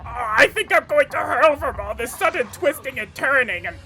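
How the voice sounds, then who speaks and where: A woman as a high-pitched frantic cartoon mad scientist. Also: lively intonation, normal speed, sharp tone, heavily acted. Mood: panicked. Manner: theatrical, exclamatory, rambling complaints. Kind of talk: cartoon fan tribute monologue.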